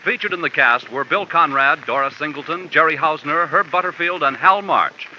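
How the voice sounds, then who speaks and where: A male network announcer reading credits and program promotions in a smooth, formal broadcast style. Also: a little high, moderate pace, pushed and bright, slight background noise. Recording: dull old radio recording with music.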